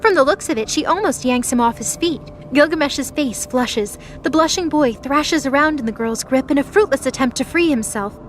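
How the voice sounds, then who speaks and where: A woman with a high voice narrates an audio drama from a script. Faint music plays behind her as she reads the story. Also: moderate pace, few pauses.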